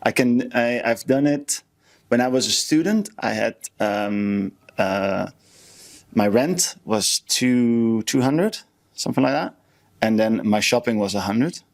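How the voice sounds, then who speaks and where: A man's voice: mid-range voice, slow pace. A man from the Netherlands with a Dutch accent, chatting casually and self-deprecatingly as a podcast co-host. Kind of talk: casual chat.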